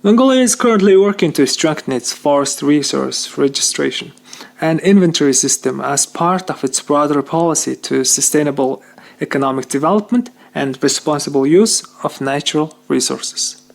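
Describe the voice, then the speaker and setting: A man's voice: fairly high pitch, animated, moderate pace, gravelly. A man presenting a read news bulletin over a shortwave radio broadcast, reading carefully with some slips in phrasing.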